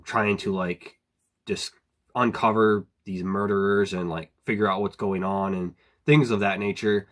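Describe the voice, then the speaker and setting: A man with a slightly hoarse voice from a cold, chatting casually in a book review vlog, rambling with many fillers and clearing his throat now and then. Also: fairly low pitch. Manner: relaxed, rambling, frequent "like" and "um", clears throat often.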